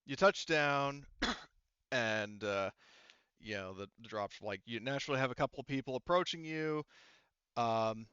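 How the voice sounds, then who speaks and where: A man acting as game master in a casual tabletop roleplaying session, narrating and organizing in a halting way as he thinks aloud. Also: medium pitch, expressive, bright, forceful tone, smooth, no echo, highs cut off.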